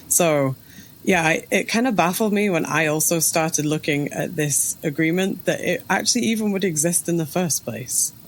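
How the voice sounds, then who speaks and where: A woman's voice: deep voice, moderate pace. A woman co-hosting a casual podcast discussion, phrasing her thoughts in a hesitant, circling way. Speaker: podcast co-host. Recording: background ambient noise.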